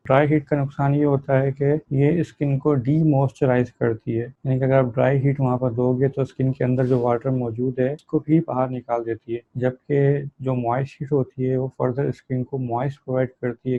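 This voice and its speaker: Flat-toned man giving a lecture. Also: mid-range voice, no echo, dull audio. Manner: didactic, reads terms out then explains them. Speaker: physiotherapy lecturer. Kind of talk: explanatory lecture, mixing technical terms with casual explanation.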